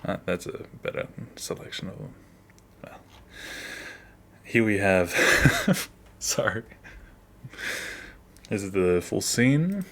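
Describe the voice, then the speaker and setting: Very slow-talking, low-voiced man. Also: big pitch swings, fairly bright tone. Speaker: animator and host of behind-the-scenes series. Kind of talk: casual behind-the-scenes commentary.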